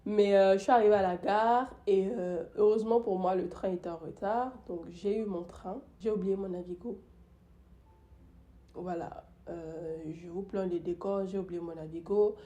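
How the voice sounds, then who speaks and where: A woman's voice: mid-range voice, fairly even intonation, normal speed, dark tone. A young woman with the flu tells a story about her day in a casual vlog, with many fillers and restarts. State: sick with the flu. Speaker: YouTuber.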